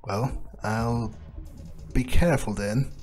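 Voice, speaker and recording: A man improvising in character as he plays a game, pausing often mid-sentence. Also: medium pitch, darkish tone, faint music behind. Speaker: gamer playing in character. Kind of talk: improvised in-character roleplay commentary. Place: voice-over on game footage, faint game music behind.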